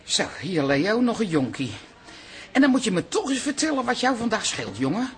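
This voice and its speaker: Actress doing older dutch auntie, lively swooping voice, coaxing and nosy, radio comedy. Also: female voice, medium pitch, moderate pace, music in the background, lightly acted. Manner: chatty, coaxing, exclamatory. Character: Tante Ali, motherly, nosy, theatrical older bar-side aunt.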